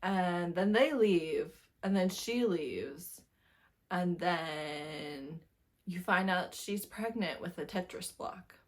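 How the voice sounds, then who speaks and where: A woman giving a casual, animated book review, telling plots informally with a giggly manner. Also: lowish voice, takes it slowly. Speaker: book review video host. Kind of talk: casual chatty book wrap-up talk to camera.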